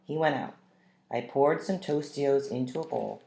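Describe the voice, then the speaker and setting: A woman reading a children's story aloud with expressive, deliberate phrasing that leans on the dialogue. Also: rumbling low, vocal fry. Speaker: read-aloud narrator. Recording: roomy, echoing room sound.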